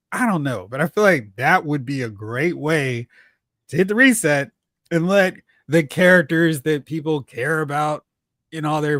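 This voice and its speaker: A man's voice: fairly high pitch, a bit bright, dry recording, clean studio sound. A man hosting a live talk stream, chatting casually and giving fan commentary. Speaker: host of a live talk stream on movies and comics.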